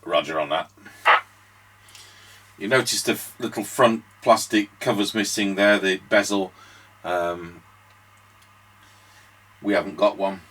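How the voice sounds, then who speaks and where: A man's voice: on the low side, measured pace, pauses often, a bit bright. A man giving casual demonstration commentary as a radio repair host and operator.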